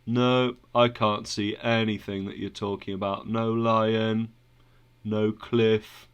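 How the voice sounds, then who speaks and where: A man voicing a sleepy, careless male traveler in chains, speaking lower and softer with a dismissive tone. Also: flat delivery, takes it slowly, clear, resonant tone. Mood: unbothered.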